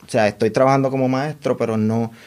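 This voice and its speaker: A man's voice: mid-range voice, steady tone, fast, a little mellow, clear, resonant tone. A young man from Puerto Rico, a tech consultant guest, talking casually in an interview with frequent restarts and repeated words.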